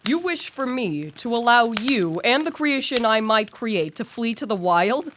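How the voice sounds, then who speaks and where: A woman's voice: fairly high pitch, big pitch swings, a little slow, noisy background. A woman acting a troubled, proud young male scientist in a radio play, formal and solemn. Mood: defiant.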